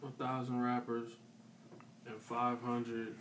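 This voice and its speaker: Man, podcast host, loose joking questions, mid-pitched voice, distant from mic. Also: completely flat delivery, slow, a bit bright. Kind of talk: casual interview chat. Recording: echoey room, voice off-mic, faint background noise.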